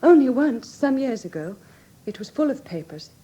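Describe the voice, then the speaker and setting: A woman's voice: high-pitched, very animated, normal speed, warm tone, low-quality recording. An actress with an English accent playing a well-bred, anxious young lady, polite and earnest, in a classic radio drama. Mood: earnest, uneasy.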